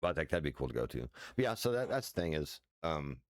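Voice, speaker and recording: A man in his forties, a streamer with a deep voice, chatting loosely at home with many fillers and restarts and casual swearing. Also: sing-song, quick pace, no echo. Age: 40s. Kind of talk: casual rambling chat while sim racing. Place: his house.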